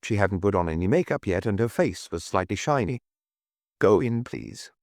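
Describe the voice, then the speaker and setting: A man's voice: fairly low pitch, lively intonation, brisk, no echo, studio quality. A man reading scripted detective fiction as an audiobook narrator.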